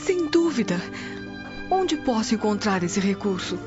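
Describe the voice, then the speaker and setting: A woman's voice: medium pitch, very animated, unhurried. A woman playing an anxious, earnest Roman noble wife in a radio drama. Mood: worried. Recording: dull lo-fi audio over music.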